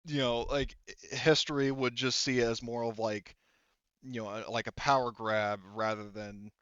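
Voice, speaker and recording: Male game master, smooth mid-range voice, rambling and explanatory, self-correcting, dry slightly muffled close recording. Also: mid-range voice, fairly even intonation, moderate pace, fairly bright tone, dry recording. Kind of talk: improvised tabletop game narration.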